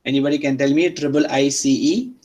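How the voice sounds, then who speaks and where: A man's voice: medium pitch, steady tone, fast, a little mellow, highs cut off. A male university lecturer who speaks English as a second language, teaching interactively with repeated phrases and frequent checking questions to students. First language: not Arabic or English.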